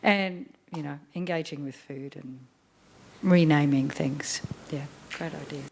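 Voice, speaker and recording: A woman's voice: low voice, measured pace, vocal fry. A woman from a volunteer group gives chatty workshop feedback that is full of fillers. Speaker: landcare volunteer group member.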